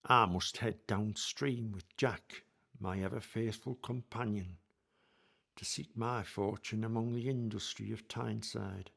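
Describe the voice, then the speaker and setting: Man doing a slow gravelly victorian navvy, thoughtful radio play narrator. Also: fairly low pitch, steady tone, fairly bright tone, full character voice. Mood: wistful. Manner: slow, measured, reflective storytelling. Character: young Victorian navvy, a proud tunnel digger. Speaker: narrator in character.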